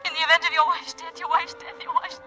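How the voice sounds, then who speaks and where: A haunting voice from a radio-play nightmare. It is very high and piercing, chanting insistently over faint music. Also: female voice, level tone, fast, sharp tone, heavily acted. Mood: eerie, urgent. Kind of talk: vintage radio drama acting.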